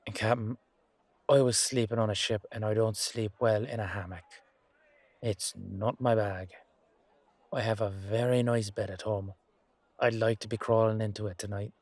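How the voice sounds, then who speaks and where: A man's voice: on the low side, steady tone, moderate pace, no room sound. A man acting a humble, weary knight with a wry, casual, hesitant manner in an amateur fantasy audio roleplay.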